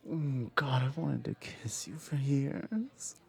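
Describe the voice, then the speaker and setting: A woman voicing a confident, seductive woman in an intimate ASMR roleplay, slow, teasing and softly commanding, hushed and breathy at times. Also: extremely low pitch, pitched below the performer's own voice, heavily acted.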